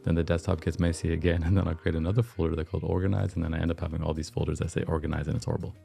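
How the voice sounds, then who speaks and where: A slightly hoarse man hosting a livestream, chatting in a relaxed, reflective, slangy way over soft background music. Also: sits low, very fast. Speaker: podcast and livestream host. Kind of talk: casual livestream chat.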